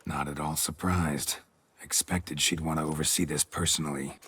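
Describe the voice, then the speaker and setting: An actor playing a gruff, laconic monster hunter in a video game, with a gravelly, husky voice and a dry, sardonic delivery. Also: male voice, very deep voice, moderate pace, dry recording, exaggerated voice. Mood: matter-of-fact. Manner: deadpan, sardonic.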